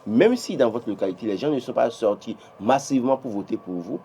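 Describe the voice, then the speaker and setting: A man's voice: medium pitch, animated, fairly quick, dark tone, slight background noise. A man with a Beninese French accent giving political commentary on a morning TV show, emphatic and full of restarts. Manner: emphatic, many restarts, rising when insisting. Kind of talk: morning TV press review.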